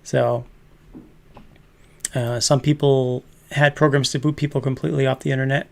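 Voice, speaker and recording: A man's voice: medium pitch, level tone, normal speed, vocal fry, darkish tone. A man in his fifties hosting a casual livestream chat, rambling and laughing often. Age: 50s. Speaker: host.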